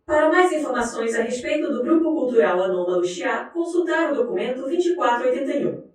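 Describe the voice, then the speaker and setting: A woman's high voice rings out through heavy echo. She reads scripted report narration formally and impersonally, like an official announcement, sounding set well back from the mic. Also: lively intonation, a little fast, darkish tone, distant mic. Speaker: document narrator.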